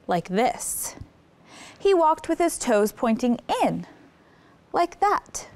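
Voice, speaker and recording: High-pitched woman reading a children's storybook. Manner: expressive, engages child listeners with simple questions and exclamations. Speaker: children's story time host. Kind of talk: read-aloud picture book storytelling with questions to young listeners.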